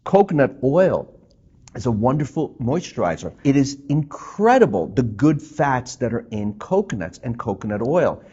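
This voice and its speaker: A man's voice: medium pitch, normal speed, slightly rough. A man, a doctor, giving friendly health tips on a TV segment and talking to viewers as folks. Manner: lively, chatty, addresses viewers as folks. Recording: dull lo-fi TV audio.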